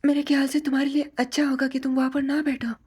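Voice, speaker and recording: A man's voice: very high-pitched, level tone, fast, a little mellow, pitched above the performer's own voice. A man voicing a timid, nervous schoolkid who speaks hesitantly in an anime fan dub. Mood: nervous warning.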